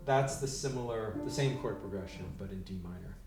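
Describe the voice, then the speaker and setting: A man teaching a piano lesson, counting beats out loud and explaining chord changes. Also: fairly low pitch, fairly quick. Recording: roomy, distant mic, loud piano. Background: piano playing.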